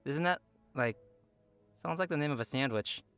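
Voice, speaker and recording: A man co-hosting a game preview show, presenting updates in a playful, animated way with banter. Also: on the high side, moderate pace, smooth, no room sound, faint music behind.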